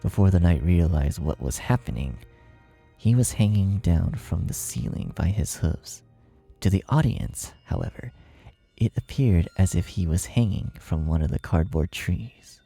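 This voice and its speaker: A man's voice: low voice, lively intonation, measured pace, vocal fry, warm tone, slightly rough, faint music behind. A man reading a fan fiction story aloud as its narrator in a scripted style.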